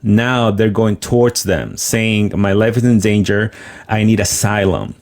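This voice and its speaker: A man's voice: fairly low pitch, a little slow, gravelly, darkish tone. A Venezuelan-born man hosting a heated livestream debate, speaking firmly and insistently and cutting in to defend his views. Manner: firm, insistent, talks over the other speaker. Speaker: host.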